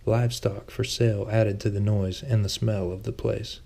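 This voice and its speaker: Low, creaky-voiced male audiobook narrator. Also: level tone, normal speed, warm tone. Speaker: author reading his own novel. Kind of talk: scripted fantasy audiobook narration.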